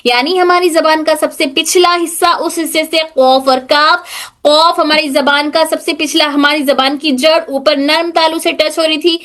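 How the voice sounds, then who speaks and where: Woman teacher, high-pitched, patient, checks in often. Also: fairly bright tone. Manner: patient, repeats points and checks if students follow. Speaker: Tajweed teacher. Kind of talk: online class lesson.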